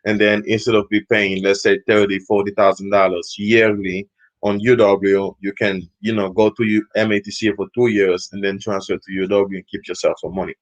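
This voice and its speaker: Young man with low, relaxed speech. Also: normal speed, highs cut off. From Equatorial Guinea. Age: young adult. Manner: relaxed, rambling with fillers. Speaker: student guest. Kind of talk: casual interview answers.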